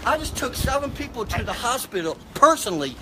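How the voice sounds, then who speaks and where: Very high-pitched indignant man in heated argument. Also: very animated, fairly quick, crowd noise. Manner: confrontational, repetitive, indignant. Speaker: property worker confronting someone. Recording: noisy phone video near vehicles.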